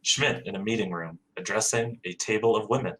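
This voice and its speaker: Bright-voiced man reading stage directions. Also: on the low side, fairly quick, poor audio. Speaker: narrator.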